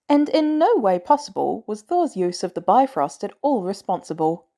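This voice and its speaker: A woman narrates scripted fiction in an expressive style. Her voice is medium in pitch and swings widely as she goes. She leans on key words for dramatic emphasis, as a podfic reader.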